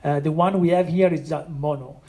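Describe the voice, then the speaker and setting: Brief: non-native English speaker, sound artist, halting and full of restarts, dark mellow tone. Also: mid-range voice, fairly even intonation, slight background noise. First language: not English. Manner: hesitant, many restarts and fillers. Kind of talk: explaining own work in a conversation.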